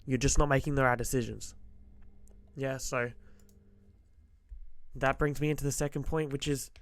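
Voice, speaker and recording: A man talks straight to the listener about trading mindset, blunt and emphatic, hammering the same points again and again. His voice is a little hoarse from a cold and he clears his throat often, but the tone underneath stays smooth. The sound is close and dry, with no room around it. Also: mid-range voice, steady tone, moderate pace, no room sound. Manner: direct, emphatic, repeats key points. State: slightly hoarse from being sick. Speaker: forex trader giving advice. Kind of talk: motivational trading-psychology talk to camera.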